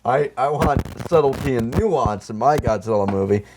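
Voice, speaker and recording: A man with a medium-pitched voice chats casually as a podcast co-host. He rambles and stalls as he hunts for words, and swears freely in a comedic film-talk style. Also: lively intonation, moderate pace, background noise.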